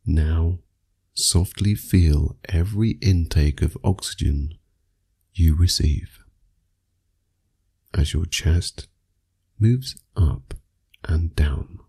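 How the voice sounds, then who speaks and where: A man narrating a scripted guided meditation for sleep, speaking soothingly and in measured phrases with long pauses. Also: low voice, creaky, mellow, breathy edge.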